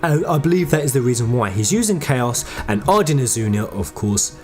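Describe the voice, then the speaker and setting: A man's voice: mid-range voice, animated, fairly quick, darkish tone, faint music behind. A man giving informal fan theory commentary as a channel host, speaking emphatically and directly to his viewers. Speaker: host of a video game lore channel.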